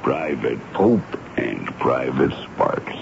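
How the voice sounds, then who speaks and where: A folksy American sergeant with a very deep, creaky voice that rises and falls in lively swings. He is plainspoken, dutiful and deferential, in an old radio drama on a noisy recording. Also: slow, lo-fi sound, heavily acted. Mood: confiding. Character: folksy, dutiful army sergeant reporting to his superior.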